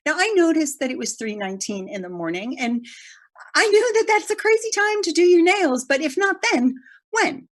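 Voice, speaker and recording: An actress playing a guilt-ridden woman in a Zoom play, giving a halting, emotional confession that swings from loud to hushed. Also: high-pitched, very animated. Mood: anxious recounting. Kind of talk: dramatic monologue in a Zoom play. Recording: video call audio.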